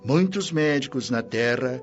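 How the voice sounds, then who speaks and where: An actor playing a wise, paternal but stern spirit minister in a radio drama, admonishing solemnly with a Brazilian accent. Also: male voice, mid-range voice, animated, measured pace, lightly acted. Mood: solemn, instructive. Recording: dull lo-fi radio drama audio. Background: music.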